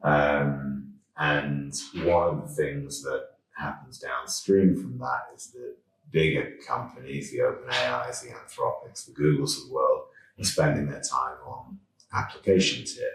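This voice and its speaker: A male podcast host with a very deep voice asks probing questions between short acknowledgements. He sounds set back from the mic, and the room echoes around him. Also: a little slow, fairly bright tone, distant mic. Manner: brief backchannels, probing questions. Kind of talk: interviewing, casual chat.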